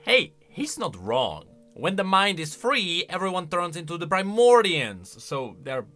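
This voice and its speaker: Chatty guy reacting to game lore, bright forceful voice, really animated, little bit of music behind. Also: high-pitched, unhurried. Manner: informal, opinionated, chatty. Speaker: video game lore commentator. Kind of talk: casual reaction commentary.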